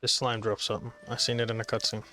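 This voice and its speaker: Flat, bright-voiced male gamer. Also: on the low side, quick pace. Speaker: gaming video host. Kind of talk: casual gameplay commentary. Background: faint game music.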